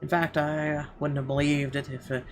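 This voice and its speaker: A man playing a game on stream, reading its narration aloud haltingly and reacting casually and bluntly. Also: a little high, level tone. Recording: clearly audible background noise.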